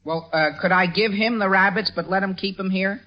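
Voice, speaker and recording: A man's voice: high voice, fairly even intonation, brisk, noisy background, natural voice. A man playing an eager, pleading teenage son with a youthful, cracking voice in a 1940s radio comedy. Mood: protesting, earnest. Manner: earnest, wheedling, indignant when doubted. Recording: dull old radio broadcast recording.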